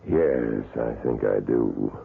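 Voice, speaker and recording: A man playing a grave, knowing character, measured and solemn, in a 1970s radio drama. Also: very deep voice, creaky, full character voice. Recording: tinny, hissy 1970s radio recording.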